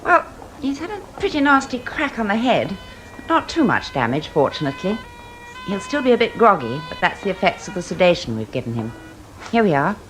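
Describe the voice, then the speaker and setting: Brisk, expressive British ward sister played by an actress. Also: female voice, mid-range voice, faint music behind, natural voice, low-quality recording. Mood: businesslike. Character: brisk, practical female hospital ward sister. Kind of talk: British radio drama acting.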